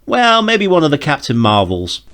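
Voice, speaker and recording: Dry, sarcastic man riffing, lively pitch. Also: moderate pace. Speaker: comic riffing commentator. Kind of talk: wisecracking commentary over an audio drama.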